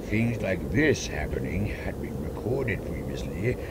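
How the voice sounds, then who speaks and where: Male fiction reader, suspenseful and expressive, lower register, loud ambience behind. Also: unhurried. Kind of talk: dramatic story narration. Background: loud ambient noise.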